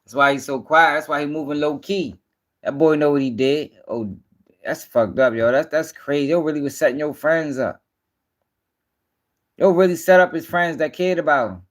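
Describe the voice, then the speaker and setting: A high-voiced man hosts a casual livestream. He comments bluntly in heavy slang, swears freely and repeats his points for emphasis. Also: normal speed. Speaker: host.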